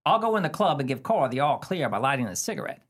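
An actor plays a cold-blooded pulp crook from a 1930s radio play. The voice is husky and swings freely in pitch. The talk is tough, slangy and scheming. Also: sing-song, fast, fairly bright tone. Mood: scheming, bossy. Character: scheming gangster Skeeters, cold, cynical crook.

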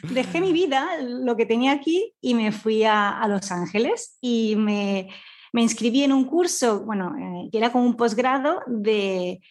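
A woman's voice: a little high, a little slow, a little mellow. A woman with a Spain Spanish accent telling her story as an interview guest, in an informal, rambling way that often doubles back on itself. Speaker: guest, audio fiction scriptwriter.

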